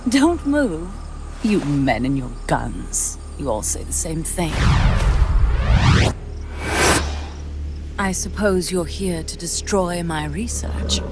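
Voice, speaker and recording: A woman's voice: lowish voice, expressive, slow pace, over loud music. An actress playing a cold, imperious female scientist in a video game, speaking with theatrical, accusing contempt. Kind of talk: dramatic video game acting.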